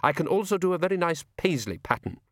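A man's voice: fairly high pitch, big pitch swings, fast, no echo, pitched above the performer's own voice. Male reader playing a prim, icy frost spirit, raised and thin, dry close sound. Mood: cool. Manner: cool, precise, faintly wounded.

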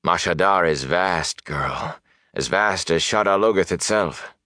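A man voicing a grave, commanding sorceress who speaks in measured, solemn warnings for an audiobook. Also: deep voice, bright, forceful tone, dry recording, clean studio sound. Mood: bitter, stern. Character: stern, commanding sorceress, grave and urgent woman.

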